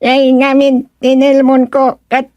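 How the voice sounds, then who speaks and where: Woman playing a high-voiced, sly magical trickster. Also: completely flat delivery, slow pace, warm tone, no room sound, lo-fi sound. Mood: coaxing, smug. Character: sly magical woman who croaks like a frog. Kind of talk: comedic radio drama acting.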